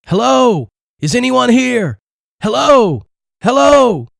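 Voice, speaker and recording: A frantic young man , acted out by a male audiobook reader, yells urgently in the face of danger. The voice is pushed up high, and the recording is dry and close. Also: very animated, takes it slowly, creaky, dry recording, exaggerated voice. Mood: frantic searching. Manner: urgent, yelling. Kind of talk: audiobook character acting.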